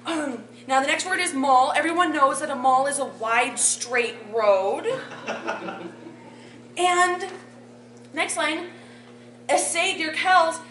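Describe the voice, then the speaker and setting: A woman in her thirties reading aloud from a sheet in a community room, explaining a fake translation in a deadpan, mock-scholarly way. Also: high voice, slow pace, long pauses, slight background noise. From Poland. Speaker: bardic performer. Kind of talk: mock translation comedy bit. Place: community meeting room with audience. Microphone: far camera. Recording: echoey room from afar.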